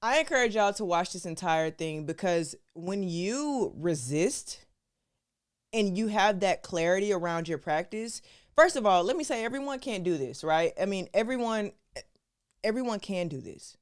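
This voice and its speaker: A woman hosts a live stream in a relaxed, chatty voice, speaking straight to her viewers. Her voice comes through smooth and clean, with a mid pitch. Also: moderate pace. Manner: chatty, conversational, addresses viewers as y'all. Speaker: show host. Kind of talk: casual live-stream talk on art.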